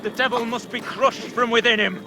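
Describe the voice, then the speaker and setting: An actor playing a zealous, accusing Puritan reverend in a period video game drama, with preachy, formal, archaic speech. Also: male voice, very high voice, fairly even intonation, moderate pace, over music. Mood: fervent, condemning.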